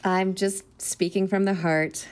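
A woman's voice: mid-range voice, little pitch movement, takes it slowly, clean tone, close to an everyday voice. Woman as a careful, nervous young teacher. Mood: earnest. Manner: hesitant, careful, earnest. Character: nervous, eager-to-please young single woman, new teacher. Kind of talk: naturalistic audio drama acting.

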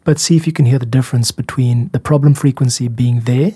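A man hosting a podcast about making music, explaining things in a measured, candid, self-critical way. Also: mid-range voice, fairly even intonation, warm tone, husky, dry recording. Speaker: music podcast host and composer.

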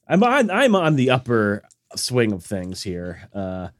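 A man's voice: mid-range voice, wildly swooping pitch, dry recording, clean studio sound. Congested man chatting on a podcast. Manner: rambling, halting with many fillers and restarts. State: congested, recovering from illness. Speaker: podcast co-host. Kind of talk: casual chat.

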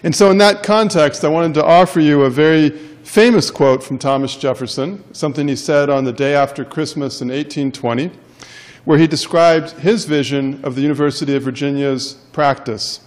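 A male professor giving a lecture in a classroom, loud and wordy by his own account. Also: a little high, normal speed. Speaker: professor and center director. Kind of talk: university lecture. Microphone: handheld mic.

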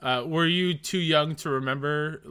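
Bright-voiced male podcast host. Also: a little high, a little slow, clean tone. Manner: friendly, hesitant questions with fillers. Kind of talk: casual interview.

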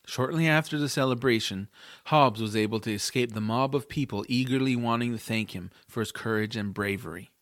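Guy reading a fantasy audiobook first person, medium pitch, super dry sound. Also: brisk, a bit bright, no room sound. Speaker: audiobook narrator. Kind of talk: scripted first-person fantasy storytelling with acted dialogue.

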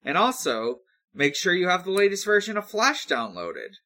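A man co-hosting a casual recap discussion, explaining things in a halting, hesitant way. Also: high-pitched, huge pitch swings, bright, forceful tone. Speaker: podcast co-host recapping episodes. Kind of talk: casual episode recap with co-hosts.

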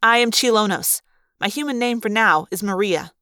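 A woman's voice: medium pitch, moderate pace, sharp tone, clean tone, no room sound, clean studio sound, lightly acted. A woman voicing a stern elderly female android who speaks firmly and with indignation. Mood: measured. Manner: firm, heated, indignant.

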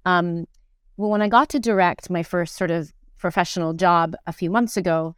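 A woman's voice: lowish voice, steady tone, normal speed, smooth, dry recording, clean studio sound. A middle-aged woman talking casually and reflectively as an interview guest, searching for words and often checking in with "right?". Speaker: guest, actor, director and acting teacher.

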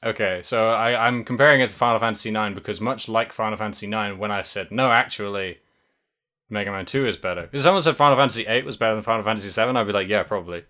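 Bright-voiced man rambling in casual chat. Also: fairly low pitch, fast. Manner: rambling, hesitant, lots of fillers and restarts. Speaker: podcast co-host.

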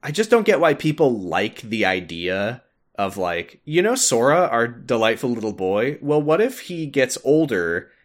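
A man's voice: medium pitch, very animated, measured pace. A man hosting a podcast, chatting casually and emphatically about video game lore like a devoted fan. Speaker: podcast host.

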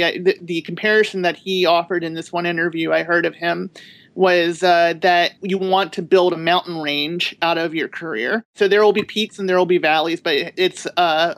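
A woman author speaking as a guest on a podcast panel, reflective and halting, with many restarts. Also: on the low side, fairly bright tone. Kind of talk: podcast interview.

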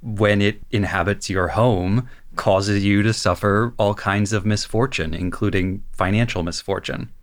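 A man co-hosting a podcast, chatting casually and thoughtfully about an animated show. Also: fairly low pitch, a little slow, few pauses. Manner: thoughtful, explanatory. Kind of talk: casual analytical chat.

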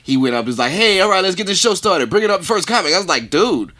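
A man's voice: sits high, sing-song, quick pace, bright, forceful tone. A male podcast co-host telling casual comic stories, rambling along and acting out conversations.

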